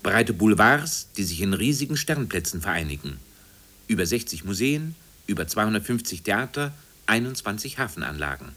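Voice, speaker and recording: A man's voice: fairly low pitch, very animated, normal speed, pushed and bright, exaggerated voice. A man playing a formal announcer in a 1950s radio drama, reciting facts in clipped, enumerating phrases. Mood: matter-of-fact. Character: factual announcer reciting city statistics like an encyclopedia.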